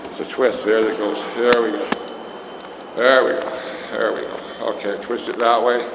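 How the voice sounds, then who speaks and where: An older Canadian man vlogging about politics, talking loudly and emphatically, often indignant, and recording himself on a phone on a selfie stick. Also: lowish voice, level tone, moderate pace, gravelly. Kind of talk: rambling political vlog. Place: outdoors then indoor stairs and hallway. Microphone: handheld phone on a selfie stick. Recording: echoey hallway with long reverb and noise.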